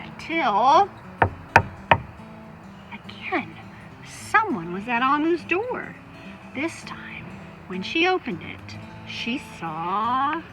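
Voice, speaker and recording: A woman reading a children's picture book aloud, expressively, with dramatic emphasis and drawn-out phrases. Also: fairly high pitch, rough recording. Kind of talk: expressive scripted read-aloud for young children.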